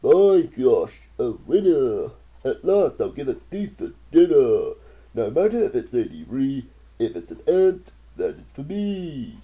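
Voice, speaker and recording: A reader voicing a hungry anteater that talks in slow, drawn-out mumbles. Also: medium pitch, big pitch swings, highs cut off. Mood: pleading, hungry. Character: starving anteater, slow and pleading, later sly.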